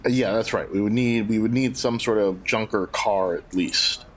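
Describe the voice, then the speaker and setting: A man's voice: on the low side, moderate pace, a little hiss or hum. A man playing a tabletop role-playing game, talking casually as he plans with the group. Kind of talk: casual collaborative planning talk.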